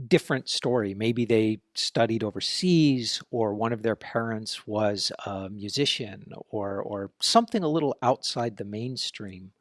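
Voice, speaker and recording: A man's voice: medium pitch, dry recording. Podcast host man, dry close sound. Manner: conversational, thinks aloud. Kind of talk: interview and solo commentary.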